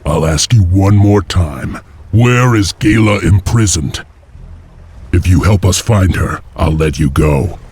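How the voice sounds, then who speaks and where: An actor playing a stern, determined heroic clan chieftain in a video game, terse and commanding, with a husky voice. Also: male voice, low-pitched, slow, a little mellow, full character voice.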